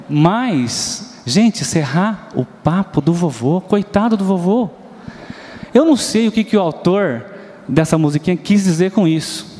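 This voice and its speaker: A Brazilian man preaching in a church, his mellow, fairly high voice ringing out in a long hall reverb. He tells stories, asks the congregation questions and sings snatches of nursery rhymes. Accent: Brazilian Portuguese. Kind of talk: sermon.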